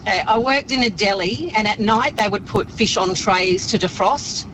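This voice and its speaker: A woman's voice: medium pitch, moderate pace. Woman caller over noisy background. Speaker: radio caller. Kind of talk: casual anecdote. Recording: noisy phone-in, vehicle ambience.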